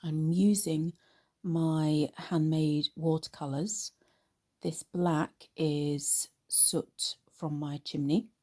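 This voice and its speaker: A woman hosting an art tutorial, narrating in an unhurried, informal way, her voice slightly croaky after a cold. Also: sits low, dark tone. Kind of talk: relaxed painting tutorial narration.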